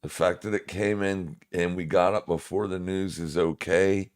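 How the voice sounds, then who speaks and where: A man's voice: low-pitched, fairly even intonation, normal speed. A man with a smoker's voice giving casual, laid-back live market commentary to viewers as a streaming trading host.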